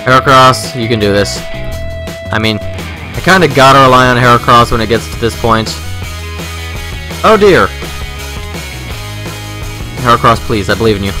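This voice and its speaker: A man's voice: mid-range voice, fairly even intonation, brisk, over music. A man giving casual, informal let's-play commentary, rambling and thinking aloud into a close microphone. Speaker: gaming video host.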